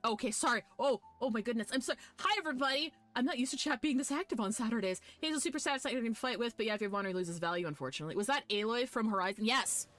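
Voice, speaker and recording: A woman streaming a game live, chatting casually with viewers in an animated, sweary, fan-like way. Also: on the high side, racing, few pauses. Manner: foul-mouthed. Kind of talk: casual chat with viewers while gaming.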